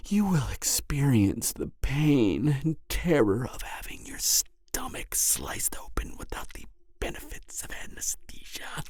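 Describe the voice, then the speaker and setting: Deep-voiced cannibal killer lady, taunting and theatrical, slow talk that swings all over. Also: dark tone, no echo, studio quality, heavily acted. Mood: menacing, hushed. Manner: theatrical, taunting, frequent cackles and breathy whispers. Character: gleeful sadistic cannibal serial killer woman, mocking, theatrical. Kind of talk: dramatic audio roleplay to the listener.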